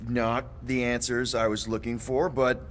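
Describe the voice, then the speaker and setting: An actor playing a brash, wry, earnest young space crew leader in a video game cutscene. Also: male voice, mid-range voice, level tone, measured pace, slight background noise, natural voice. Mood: puzzled.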